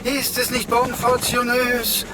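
A man's voice: very high-pitched, completely flat delivery, slow, background noise. A man playing a cheeky talking computer sidekick in a radio play, pompous, wordy and mock-archaic in manner. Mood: theatrically rapturous.

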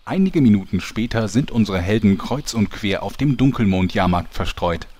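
A man's voice: on the low side, expressive, moderate pace, darkish tone, a little hiss or hum, natural voice. A man narrating a comedy fantasy audio drama as a mock-epic storyteller. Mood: wry storytelling.

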